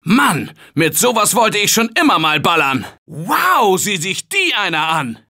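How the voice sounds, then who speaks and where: A male actor playing a confident, energetic survivor in a German video game dub, shouting warnings and calling directions. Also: sits high, unhurried, heavily acted. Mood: determined. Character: upbeat male office worker survivor, confident. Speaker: game character.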